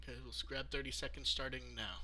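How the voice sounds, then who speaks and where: A man's voice: medium pitch, little pitch movement, fast talker, pushed and bright. A man hosting a podcast livestream, chatting casually into his own microphone. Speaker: podcast host.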